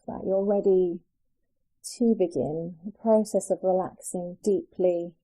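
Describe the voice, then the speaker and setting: A woman guiding a yoga nidra relaxation as a meditation teacher, speaking in a soothing, gentle, unhurried way with pauses. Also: on the low side, fairly even intonation, low-quality recording.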